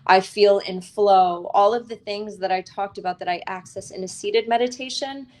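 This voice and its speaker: A woman's voice: medium pitch, fairly even intonation, normal speed. Earnest, reflective woman in conversation. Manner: earnest, reflective, spiritual-teacher phrasing with tag questions. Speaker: author interviewed as a guest. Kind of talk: conversational interview.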